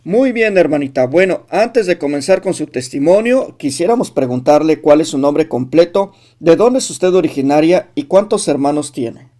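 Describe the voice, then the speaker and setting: A man's voice: a little high, animated, moderate pace, hardly any pauses, mellow. A man hosting a religious testimony program, pressing viewers directly and interviewing a caller. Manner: urgent, exhorting, direct address to viewers. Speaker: host of a testimony channel.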